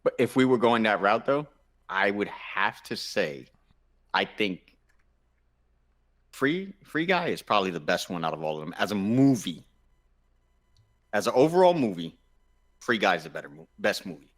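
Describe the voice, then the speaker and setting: A man's voice: on the low side, big pitch swings, normal speed, long pauses. A man on a podcast panel casually debating in an insistent way, often restarting his sentences as he argues. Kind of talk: casual group debate about movies and games.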